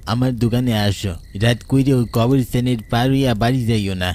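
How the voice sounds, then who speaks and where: A man's voice: mid-range voice, a little fast, a little mellow, lightly acted. A man playing a graveyard tea-stall keeper in a horror cartoon, giving insistent colloquial warnings in a rural Bengali accent.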